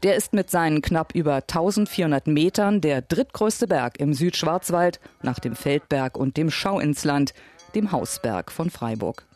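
A man reading scripted documentary voice-over narration in a measured, even manner. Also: on the high side.

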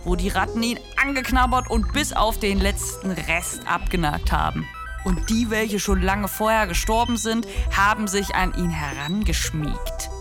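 A woman's voice: mid-range voice, unhurried. Woman narrating a radio play over faint music.

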